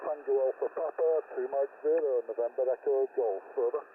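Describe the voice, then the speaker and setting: A man's voice: mid-range voice, brisk, narrow, tinny audio. A man with a Yorkshire accent calling in on amateur radio, steadily reading out call signs. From West Yorkshire, England. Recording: distant radio signal, phone-thin with hiss.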